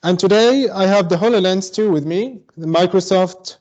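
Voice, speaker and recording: Guest expert, man from Syria, high voice, halting explainer, muffled video-call sound. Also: darkish tone. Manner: explains step by step, halting with fillers. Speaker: guest, mixed reality advocate. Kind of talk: explanatory online talk. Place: home in Berlin.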